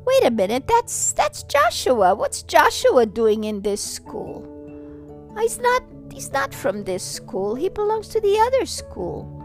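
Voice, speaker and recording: A woman doing a kind, gentle ghost. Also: sits high, huge pitch swings, slow, faint music behind, voice pushed up, heavily acted. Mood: curious. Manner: gentle, reassuring, coaxing. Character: kind friendly male ghost helper.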